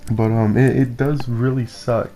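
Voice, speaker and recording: Young man, first languages spanish and japanese, mellow tone, halting interviewer, faint music behind. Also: medium pitch, little pitch movement. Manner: hesitant, many fillers and restarts. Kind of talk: casual student interview.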